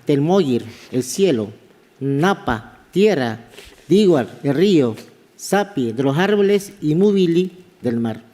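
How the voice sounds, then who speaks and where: A man from Panama with a Kuna accent, an anthropology professor giving a halting conference talk that often repeats words. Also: fairly high pitch, expressive, mellow. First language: Kuna (Guna). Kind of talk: conference presentation. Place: large conference hall. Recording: reverberant conference hall.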